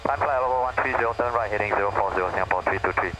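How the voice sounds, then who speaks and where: A man, an airline pilot, reading back instructions briskly over the radio. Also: on the low side. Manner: brisk, routine readbacks. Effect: radio transmission. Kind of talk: radio readbacks. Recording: noisy aircraft radio.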